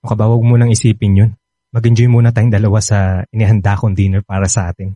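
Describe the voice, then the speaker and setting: A man's voice: fairly low pitch, level tone, fast talker, dark tone, dry recording, dull audio, natural voice. A man playing an attentive, worried young boyfriend in a Filipino radio romance, with a Filipino accent and a coaxing manner. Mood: doting, concerned.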